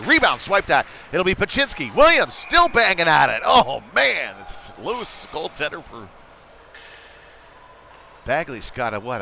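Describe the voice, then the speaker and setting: Male sports commentator, mid-range voice, conversational live hockey call over clear arena noise. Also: mid-range voice, sharp tone. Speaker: play-by-play commentator. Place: indoor youth hockey arena. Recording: noisy arena with clattering ambience.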